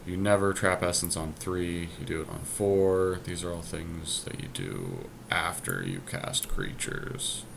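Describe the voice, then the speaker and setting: Low voiced guy muttering thru his card game choices out loud, bit of noise behind him. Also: animated, a little slow, gravelly, hardly any pauses. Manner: deliberating, counting and reasoning aloud. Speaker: podcast host drafting a card game deck. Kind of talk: casual thinking-aloud game commentary.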